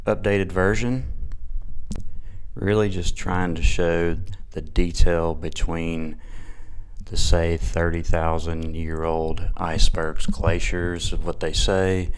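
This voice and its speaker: A man giving casual, unscripted commentary in a musing, halting way, often posing rhetorical questions. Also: sits low, flat delivery, clear, resonant tone. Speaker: video narrator. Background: new-age music.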